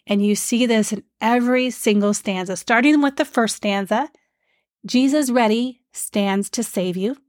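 A woman with a stuffed-up nose hosting a devotional hymn podcast, speaking in a gentle, teacherly way with frequent fillers. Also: mid-range voice, dry recording, studio quality. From Iowa, USA. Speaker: podcast host.

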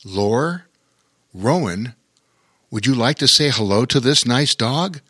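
A man voicing a huge, kindly male bear in a storybook, speaking calmly and gently. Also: medium pitch, takes it slowly, slightly rough, no echo, clean studio sound, exaggerated voice. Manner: calm, warm-hearted.

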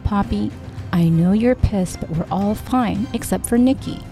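A woman's voice: mid-range voice, a little slow, dark tone, faint music behind, close to an everyday voice. A woman voicing a confident, plain-spoken young granddaughter in an audiobook, assured and matter-of-fact.

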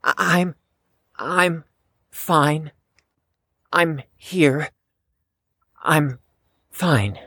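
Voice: mid-range voice, very slow, long pauses, pushed and bright, no room sound, studio quality, exaggerated voice. An actor playing an anxious, proud fifteen-year-old in an audio drama, speaking formally and precisely and stammering when flustered. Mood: panicked.

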